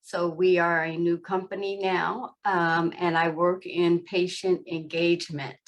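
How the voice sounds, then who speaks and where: Woman in her 60s, patient engagement, slow even delivery, plain and steady. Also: fairly low pitch, highs cut off. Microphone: video call.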